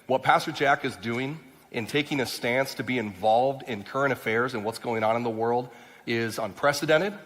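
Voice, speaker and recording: A man's voice: medium pitch, normal speed, a bit bright. A man who grew up in Mexico and Ecuador, a congressional candidate giving an emphatic talk with slides at a church, often repeating words and rising on key points. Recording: long hall reverb.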